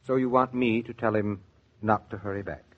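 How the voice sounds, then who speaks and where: A man's voice: on the low side, fairly even intonation, moderate pace, narrow, tinny audio, natural voice. A man playing a kindly, persuasive family friend and colleague in a 1940s radio drama. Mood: fond, amused.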